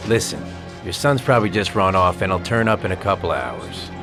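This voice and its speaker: An actor playing a businesslike police investigator who presses with questions, in a dramatic video game. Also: male voice, sits low, lively intonation, brisk, over music. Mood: curt, official. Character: brisk, probing male police investigator.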